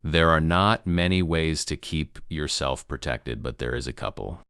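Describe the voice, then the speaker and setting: A man playing a grave emergency news broadcaster in an amateur apocalypse broadcast, gravelly, solemn and halting in his delivery. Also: very deep voice, a bit bright, no room sound, studio quality. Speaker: news anchor.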